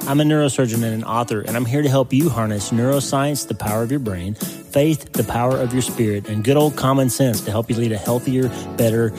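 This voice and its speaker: A man's voice: medium pitch, a little fast. A man from the United States reading an upbeat, encouraging scripted intro to his podcast. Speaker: podcast host. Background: music.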